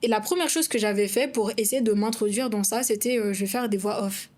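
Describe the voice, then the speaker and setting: A woman's voice: mid-range voice, a little fast, a little mellow. A young woman from Madagascar with a Malagasy accent, co-hosting a casual podcast, speaking frankly and outspokenly in a conversational way. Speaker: podcast co-host, content creator.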